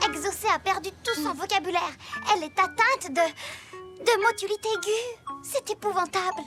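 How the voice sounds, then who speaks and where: Clear music sits behind a very high-pitched cartoon child. The kid is a clever, helpful little schemer with a confident, playful delivery. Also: female voice, normal speed. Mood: mock-dramatic. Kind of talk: animated cartoon acting.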